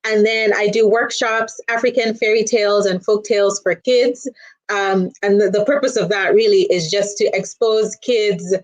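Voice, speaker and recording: A woman's voice: mid-range voice, steady tone, moderate pace, rough recording. A woman talking casually and chattily as an interview guest, often saying "you know" as she explains. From Nigeria. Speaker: guest, children's storyteller.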